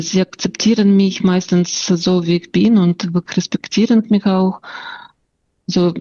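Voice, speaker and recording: A woman's voice: lowish voice, warm tone, dry recording, lo-fi sound. A woman with a Russian accent who stutters, telling her story and answering interview questions, with sound blocks and many fillers. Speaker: interview guest. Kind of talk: personal account and interview answers.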